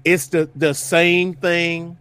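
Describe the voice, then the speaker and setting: High-pitched rambling man in his fifties. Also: little pitch movement, slow pace, a bit bright, clear, resonant tone. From Detroit, USA. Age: 50s. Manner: rambling, searching for words, many restarts. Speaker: podcast co-host. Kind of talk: casual group banter.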